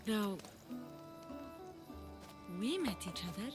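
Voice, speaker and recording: Earnest young woman of ancient Greece, guarded yet warm, mid pitch, game cinematic with music. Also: big pitch swings, takes it slowly. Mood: reflective. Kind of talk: video game cinematic acting.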